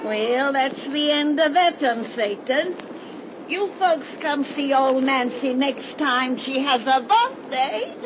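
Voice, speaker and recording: An actress playing an old witch who tells horror stories, theatrical and drawn-out, on 1930s radio. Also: sits high, animated. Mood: gleeful menace. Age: older. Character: old witch Nancy, storyteller with pet Satan. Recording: dull, noisy 1930s radio recording.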